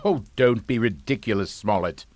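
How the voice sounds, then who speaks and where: A man's voice: fairly low pitch, normal speed, no echo, natural voice. An actor playing a domineering, coolly scheming middle-aged father in a radio drama, with a pompous, condescending delivery. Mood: irritated.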